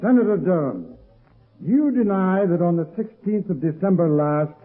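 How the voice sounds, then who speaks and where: An actor playing a pressing, accusing prosecuting attorney in 1930s radio style. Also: male voice, high-pitched, lively intonation, moderate pace, faint music behind. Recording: tinny, phone-like old radio recording.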